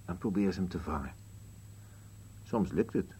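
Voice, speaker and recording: An actor playing a grumpy, bickering old husband in a Dutch radio play, curt and dry, with a husky voice. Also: male voice, low voice, moderate pace, dark tone, a little hiss or hum, lightly acted. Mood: flat, weary. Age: older.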